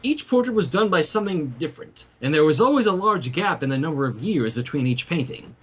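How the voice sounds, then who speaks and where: A man reading a story aloud in an expressive narrating style, with casual asides. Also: on the high side, brisk, breathy edge. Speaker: fan fiction reader.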